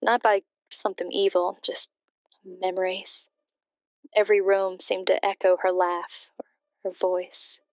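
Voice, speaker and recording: A woman's voice: mid-range voice, flat delivery, a little slow, clear, resonant tone, no room sound, close to an everyday voice. A woman playing a grieving daughter phoning a late-night radio show, telling her story in a hesitant, subdued way over a muffled line. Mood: subdued grief. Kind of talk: confessional storytelling in radio drama. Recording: muffled, band-limited phone-in sound.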